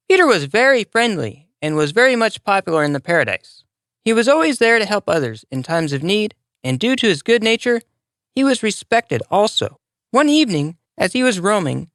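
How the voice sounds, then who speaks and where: Lively, close-up children's storyteller. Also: medium pitch, no room sound. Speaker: storyteller, narrator. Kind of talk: scripted children's moral story narration.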